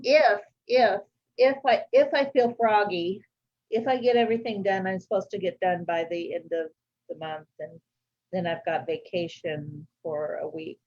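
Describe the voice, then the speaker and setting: A woman author chatting casually on a video call, talkative and quick to laugh. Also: mid-range voice, a little mellow, highs cut off. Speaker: guest author.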